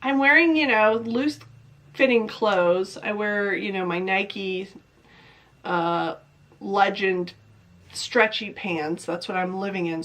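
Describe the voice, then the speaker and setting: A woman's voice: medium pitch, a bit bright. Congested woman chatting casually to camera. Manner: chatty, rambling, self-questioning. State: congested from a cold. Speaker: vlogger. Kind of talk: casual diet vlog to camera.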